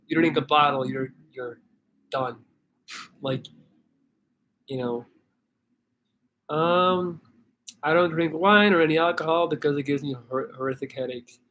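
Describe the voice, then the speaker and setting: A man from Belgium talks in a loose, rambling way as he answers viewers, full of "like" and "man" and quick restarts. His pitch sits a little higher than usual for a man. A faint noise runs under the recording. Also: fairly bright tone, poor audio. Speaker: livestream host answering viewer questions. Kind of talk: casual chat reading comments.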